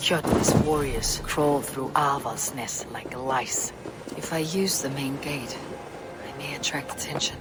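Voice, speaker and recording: A woman playing a bold, gruff Viking raider in a video game, speaking tersely and with command. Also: a bass voice, slow, heavy background noise. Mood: hushed, watchful. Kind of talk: video game dramatic acting.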